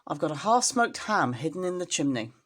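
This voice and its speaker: A woman voicing a bossy, argumentative female rat in a storybook, brisk and snappish. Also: low voice, sing-song. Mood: urgent, bossy.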